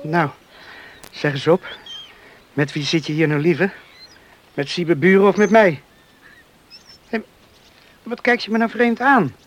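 An actor playing a passionate, headstrong young village suitor in a Dutch radio play, ardent and impulsive. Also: male voice, high-pitched, steady tone, a little slow, pauses often. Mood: eager, tender. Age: young adult.

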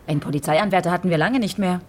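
A woman's voice: fairly low pitch, rapid-fire, darkish tone, slight background noise, natural voice. A woman playing a direct, wry homicide detective in a radio drama, dry and probing. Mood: wry, curious.

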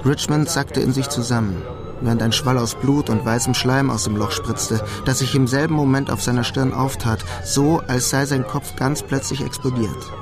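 A man playing a bitter New York criminal who narrates a crime radio play in a matter-of-fact, deliberate way. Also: medium pitch, wildly swooping pitch. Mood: grim. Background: music behind the voice.

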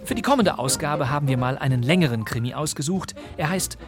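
A man's voice: fairly high pitch, animated, fairly quick. A man hosting a crime podcast, presenting radio plays from a script. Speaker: podcast host. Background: music.

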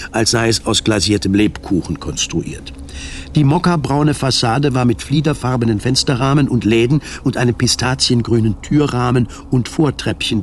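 A man's voice: medium pitch, slight background noise. A man reading scripted literary narration as the narrator of a radio drama. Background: music.

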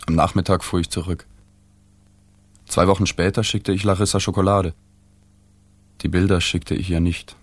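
An actor playing a reflective, reserved journalist narrating a radio drama in a measured, sober, understated way. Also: male voice, low-pitched, level tone, no room sound. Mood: detached melancholy. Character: reflective, reserved male journalist recalling a dying woman. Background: music.

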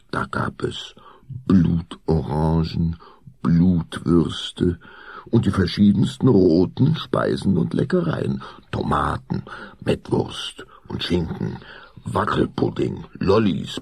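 A man narrating a spooky children's radio play in a deliberate, expressive storytelling manner. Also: sits low, gravelly, slightly rough, dry recording, studio quality, heavily acted.

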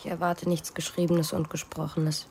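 An actress playing a troubled, stubborn young pregnant farm maid in a German radio drama, speaking gravely in formal, literary phrases. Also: female voice, low-pitched, level tone, moderate pace, dark tone, a little hiss or hum. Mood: guarded.

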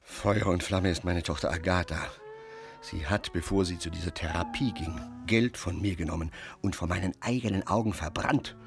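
An actor playing a gruff, cynical middle-aged father in a radio drama, telling his tales emphatically and with foul-mouthed agitation. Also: deep voice, moderate pace, over music. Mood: animated, wry.

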